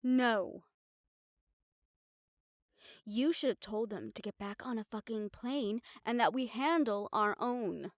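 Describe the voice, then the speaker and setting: A woman's voice: fairly high pitch, no room sound, studio quality, natural voice. A woman playing a mafia boss's blunt, exasperated, loyal girlfriend in an audio roleplay, swearing and sarcastic. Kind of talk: audio roleplay acting to the listener.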